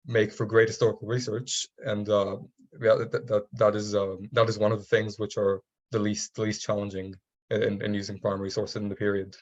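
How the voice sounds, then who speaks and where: A man speaking as a panelist historian, halting with many restarts. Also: lowish voice. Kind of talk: academic discussion. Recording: dull lo-fi audio.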